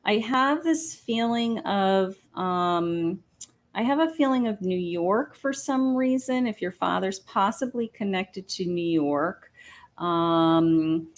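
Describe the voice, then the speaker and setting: A woman in the middle of her pitch range speaks at an unhurried, drawn-out pace. She gives psychic readings from her patio and pauses often, filling the gaps with ums as she works out what she senses. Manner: slow, hesitant, many ums, thinking aloud. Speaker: psychic medium host. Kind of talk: live-stream psychic reading, casual. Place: home patio.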